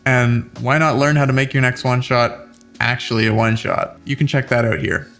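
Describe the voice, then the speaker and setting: A man's voice: medium pitch, level tone, a little fast, fairly bright tone, faint music behind. A man hosting a scripted how-to video, speaking in an instructional way with playful, demonstrative emphasis. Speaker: host giving tips for tabletop RPG character voices.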